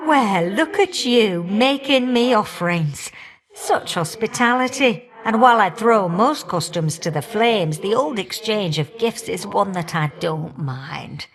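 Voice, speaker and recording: Older hearth goddess for a video game, teasing auntie type, blunt n fiery but fond, medium pitch. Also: female voice, animated, a little slow. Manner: folksy, teasing, old-auntie way of talking. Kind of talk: video game character dialogue.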